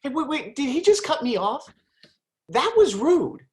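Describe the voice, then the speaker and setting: A woman's voice: high-pitched, sing-song, unhurried. Flustered woman addressing the audience. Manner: flustered, breaks the fourth wall. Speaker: playwright and director.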